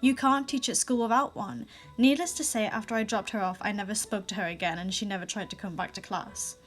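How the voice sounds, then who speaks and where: A young woman reading viewer stories aloud in a casual vlog, with sassy asides and quick restarts. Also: mid-range voice, steady tone, faint music behind. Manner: chatty, sassy asides, frequent laughs and restarts. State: recently sick.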